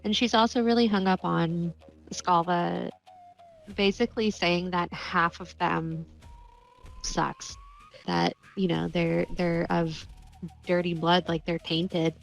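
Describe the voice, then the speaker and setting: A woman's voice: fairly low pitch, fairly even intonation, slow, vocal fry, faint music behind, low-quality recording. A woman playing a tabletop game, casually explaining her character's posture and thoughts. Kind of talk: casual in-character roleplay description.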